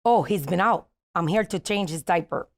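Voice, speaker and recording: A woman's voice: on the low side, normal speed, natural voice. A woman reading the part of a blunt, soothing hospital nurse in her thirties at a comedy table read. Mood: apologetic, matter-of-fact. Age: 30s.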